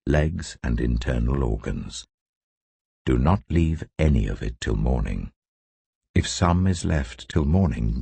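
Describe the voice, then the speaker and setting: A man giving a scripted, solemn and deliberate reading of scripture as a narrator, with emphatic phrasing. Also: extremely low pitch, creaky, dark tone, breathy edge, no echo. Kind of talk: scripted audio Bible reading.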